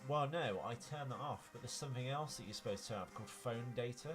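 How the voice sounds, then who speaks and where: A man's voice: mid-range voice, quick pace, music in the background. A man co-hosting a podcast, chatting casually and giving opinionated, rambling takes on films. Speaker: podcast co-host. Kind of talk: casual banter and film commentary.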